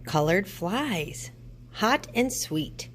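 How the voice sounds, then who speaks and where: A hungry, menacing storybook monster, put on by a woman. The words are drawn out slowly and swing up and down in pitch. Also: sing-song, a little hiss or hum. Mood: greedy. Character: hungry Halloween monsters: bat, goblin, mummy, werewolf, vampire.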